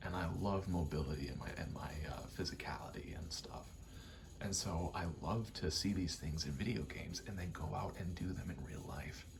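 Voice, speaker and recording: A man rambling casually in a soft ASMR style over video game play, often slipping into a whisper and thinking aloud in short, halting pieces. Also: low voice, creaky, noisy background. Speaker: ASMR creator, gamer; actor and stage combatant.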